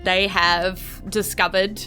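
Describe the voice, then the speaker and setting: Bright, unhurried New Zealand woman game master. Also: medium pitch, little pitch movement, soft background music, low-quality recording. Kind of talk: narrating a tabletop game, casual banter.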